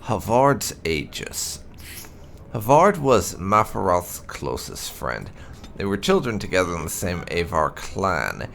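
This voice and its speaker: A man reads game lore aloud in a low, gravelly voice with plenty of fry. His delivery is measured and storyteller-like, rising and falling in a lively way with emphatic phrasing. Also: a little hiss or hum. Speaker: gaming channel host. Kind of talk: reading game lore aloud as scripted narration.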